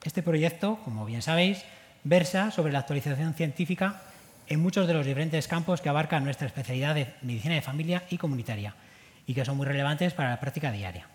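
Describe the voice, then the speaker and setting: A man's voice: a little high, expressive, quick pace. A man reads a formal, prepared welcome speech at the opening of a medical seminar. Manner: formal, reading prepared remarks. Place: conference hall. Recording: long hall reverb.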